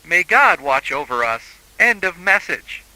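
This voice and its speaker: Formal guy doing emergency alert announcements, highish really sharp bright voice, solemn scripted broadcast. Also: sing-song, measured pace, dry recording, low-quality recording.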